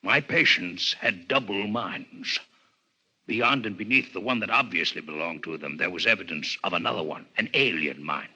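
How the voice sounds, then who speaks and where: An actor playing a grave, coldly intellectual alien physician in a 1950s radio drama, speaking in formal, measured lectures with a husky voice. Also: male voice, sits low, bright, forceful tone, exaggerated voice. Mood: grave, lecturing. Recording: 1950s radio broadcast recording.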